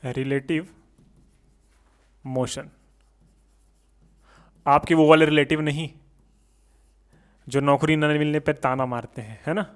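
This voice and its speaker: High-pitched man teaching. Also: steady tone, takes it slowly, pauses often. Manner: repeats points as rhetorical questions, checking in with students; switches between Hindi and English technical terms. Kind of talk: exam coaching lecture at a board.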